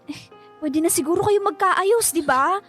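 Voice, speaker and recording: Young filipina trying to keep the peace, high pleading voice, radio drama, soft music behind. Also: female voice, moderate pace. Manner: coaxing, conciliatory, hesitant. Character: pleading, peacemaking young woman, friend to hot-head.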